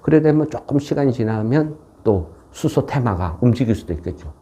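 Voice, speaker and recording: A man's voice: medium pitch, lively intonation, fairly quick, mellow, husky. A man with a regional, non-Seoul South Korean dialect giving an informal explanatory market lecture in a folksy way, often tagging on rhetorical questions. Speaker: stock market commentator.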